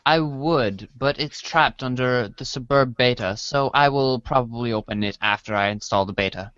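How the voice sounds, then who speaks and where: A man's voice: mid-range voice, normal speed, a bit bright, clear, resonant tone, no echo, highs cut off, lightly acted. A man playing an earnest, easily defensive, uncool teenage boy in a casual comic reader's theater. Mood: eager.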